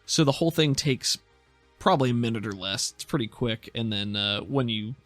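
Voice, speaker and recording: A man's voice: mid-range voice, normal speed, fairly bright tone, soft background music. A man narrating a tabletop game as game master in a conversational, descriptive, improvised way.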